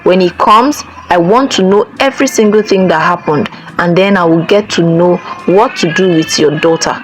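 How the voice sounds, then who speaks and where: A woman's voice: fairly low pitch, normal speed, faint music behind, natural voice, rough recording. A woman voicing a stern village king who fires off questions and gives orders. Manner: demanding, questioning.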